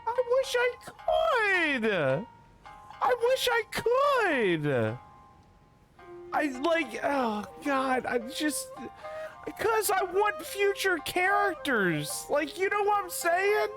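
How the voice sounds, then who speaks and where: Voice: extremely high pitch, wildly swooping pitch, extremely slow. A game streamer chatting casually in a slangy, repetitive way. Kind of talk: casual livestream chat. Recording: game music behind voice. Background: video game music.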